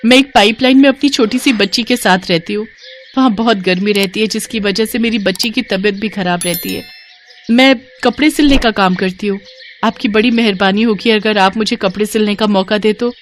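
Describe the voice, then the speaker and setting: A woman's voice: medium pitch, normal speed, a little hiss or hum, natural voice. A woman voicing a poor young mother and seamstress who pleads tearfully for help. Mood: pleading.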